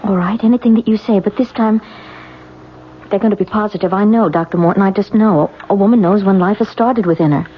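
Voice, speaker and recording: Anxious wife, played by an actress, muffled broadcast. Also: female voice, medium pitch, a little fast, lightly acted. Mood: hopeful, insistent. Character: anxious, desperate wife longing for a baby. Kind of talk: 1970s radio drama acting. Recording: muffled, noisy old radio broadcast.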